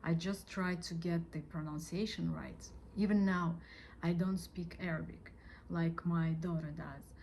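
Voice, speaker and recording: A woman from Russia, giving an earnest personal testimony about her faith, with gentle pauses and frequent fillers. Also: lowish voice, fairly even intonation, slight background noise. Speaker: convert sharing her faith story.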